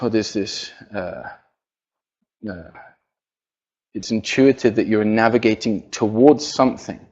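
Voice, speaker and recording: Soft-spoken man giving a halting talk. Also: on the low side. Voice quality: quiet voice. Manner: hesitant, many fillers and restarts. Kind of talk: religious lecture to an audience. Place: university hall. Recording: dull lo-fi sound.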